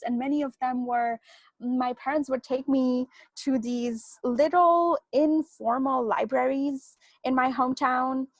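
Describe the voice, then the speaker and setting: Soft-spoken woman, a Bengali native speaker. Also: high-pitched, dry recording. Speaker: author guest. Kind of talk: thoughtful interview answers.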